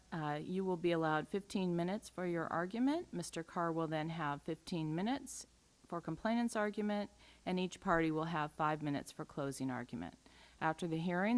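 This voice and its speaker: Low-voiced woman presiding at a hearing. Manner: measured, procedural, spells out case numbers slowly. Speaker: administrative law judge. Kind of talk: formal hearing procedure.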